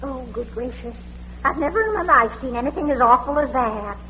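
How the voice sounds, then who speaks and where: A fussy small-town matron with a high, swooping voice on a dull old radio recording. She is dramatic and easily horrified, exclaiming in period mystery style. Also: animated, fairly quick, pushed and bright, a little hiss or hum. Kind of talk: 1940s radio mystery acting.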